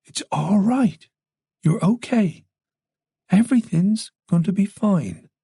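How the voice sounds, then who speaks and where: A narrator voicing a calm, protective male senior detective with an Irish accent, reasonable and soothing, in an audiobook. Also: medium pitch, normal speed, creaky, breathy edge, no echo, clean studio sound. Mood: comforting. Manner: steady, soothing, easy and unhesitating.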